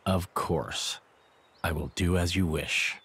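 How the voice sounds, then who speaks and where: An actor playing a dutiful, obedient guardian with a husky voice in a game cutscene. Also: male voice, low-pitched, steady tone, slow pace, a bit bright, full character voice. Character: dutiful, soft-spoken, obedient male guardian. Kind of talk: video game cutscene acting.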